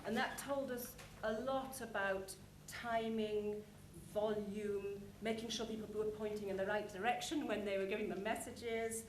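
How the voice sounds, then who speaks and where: A woman's voice: mid-range voice, fairly even intonation, normal speed, hardly any pauses. A woman giving an informal conference talk, chatty and anecdotal as she describes a show. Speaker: conference presenter. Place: conference hall. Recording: echoey room, clear background noise.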